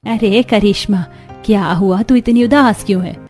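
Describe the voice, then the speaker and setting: A woman's voice: medium pitch, normal speed, darkish tone, close to an everyday voice. A woman voicing a kindly, humble old mother-in-law in a cartoon, homely and mild. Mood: concerned. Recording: music behind the voice.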